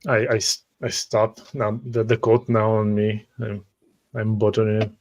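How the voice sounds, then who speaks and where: Even-toned man, halting game player. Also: lowish voice. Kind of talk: first-person roleplay narration.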